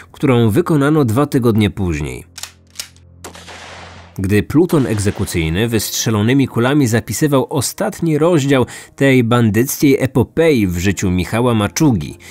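A man's voice: medium pitch, a little mellow, no echo. A man narrating a scripted true-crime podcast as its host. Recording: music under the voice. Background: background music.

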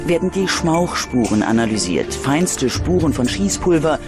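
Male documentary narrator, slightly high register, measured dramatic crime storytelling, strong music bed. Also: very animated.

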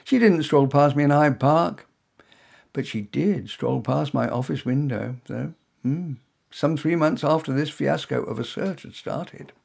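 A man's voice: a little high, a little slow, creaky, darkish tone, breathy edge. A man reading his own first-person short story in a wry, self-mocking way.

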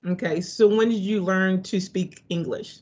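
A woman's voice: mid-range voice, a little slow, darkish tone. A middle-aged woman hosting an informal interview over a video call. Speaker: seminar host and interviewer. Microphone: video call microphone. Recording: dull, lo-fi video call audio.

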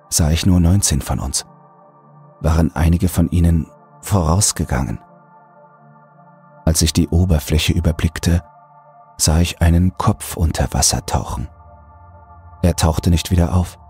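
A man narrating a scripted horror audiobook in a deliberate, brooding delivery. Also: low-pitched, darkish tone, breathy edge, faint music behind.

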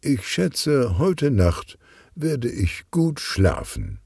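A man voicing a cautious, admiring male crook in a children's audiobook, measured and appreciative. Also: mid-range voice, wildly swooping pitch, vocal fry, noisy background, voice pushed up, full character voice. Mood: relieved.

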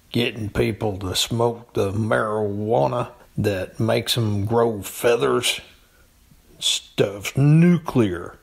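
A man's voice: mid-range voice, gravelly, fairly bright tone. A man chatting casually and comically as a podcast storyteller, laid-back and hesitant. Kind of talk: casual comedic chat.

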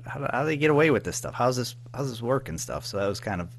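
A man chatting casually as an interview guest, informal and halting, padding his sentences with filler phrases. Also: mid-range voice, lively intonation, a little hiss or hum. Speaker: podcast guest, audio drama writer-producer.

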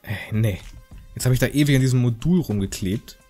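A man's voice: mid-range voice, brisk, warm tone, soft background music. A man giving casual, joking game commentary in a rambling, slangy, mock-indignant way. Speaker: gaming video host.

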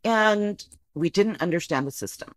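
Lively woman from South Texas. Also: lowish voice, normal speed. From Rio Grande Valley, Texas. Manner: lively, laughs easily, talks through her story. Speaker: social worker guest. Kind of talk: podcast interview.

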